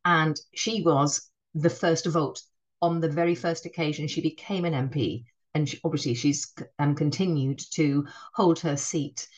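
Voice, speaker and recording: A woman's voice: deep voice, fairly even intonation, a little slow, rough recording. A middle-aged head teacher from the Northeast of England with a Northeastern accent, speaking reflectively in a podcast interview, often restarting her sentences. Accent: Northeast English. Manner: warm, reflective, frequent restarts.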